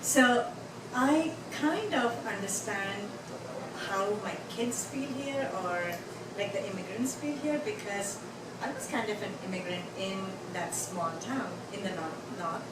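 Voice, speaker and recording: A woman's voice: mid-range voice, animated, takes it slowly. A middle-aged woman with an Indian accent, telling a personal story on stage in a rambling, chatty way with many fillers. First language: Tamil. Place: bar venue. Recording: echoey venue, mic from a distance, noisy.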